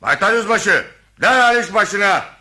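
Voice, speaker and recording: A man's voice: sits high, expressive, normal speed, bright, forceful tone, soft background music, exaggerated voice. A man playing a bossy, suspicious army general in a radio play, with a commanding, pompous manner. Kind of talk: radio theatre acting.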